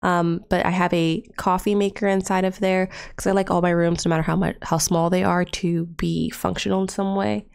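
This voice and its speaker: A woman's voice: fairly low pitch, level tone, normal speed, creaky, hardly any pauses, a little mellow, clear, resonant tone. A young woman with a stuffed-up nose narrating her life-simulation game casually, rambling with lots of fillers into a desktop microphone. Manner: chatty, rambling, with many fillers. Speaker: Let's Play creator. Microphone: desktop USB mic.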